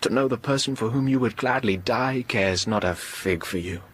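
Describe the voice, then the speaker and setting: An actor playing an earnest, lovesick young gentleman doctor in a radio drama, ardent and refined in manner. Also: medium pitch, moderate pace, breathy edge. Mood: despairing.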